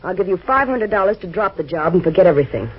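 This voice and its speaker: A woman's voice: fairly low pitch, lively intonation, muffled recording, slight background noise, close to an everyday voice. A woman playing a sultry scheming wife. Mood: insistent. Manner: smooth and coaxing, drawn-out and insinuating. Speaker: client's wife trying to buy off the detective. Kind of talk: 1940s radio acting.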